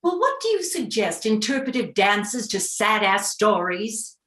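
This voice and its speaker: A woman's voice: medium pitch, big pitch swings, a little slow, bright, forceful tone. A woman playing a bossy, determined older woman in a staged reading of a play. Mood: defensive. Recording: roomy, echoey sound.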